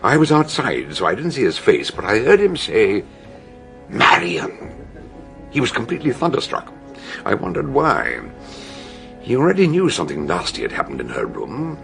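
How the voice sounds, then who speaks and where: A shrewd older British detective in a radio murder mystery. His low voice creaks with gravel and swings widely as he lays out each deduction theatrically, lingering on dramatic pauses. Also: wildly swooping pitch, fairly bright tone, faint music behind, heavily acted. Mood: shrewd, intrigued.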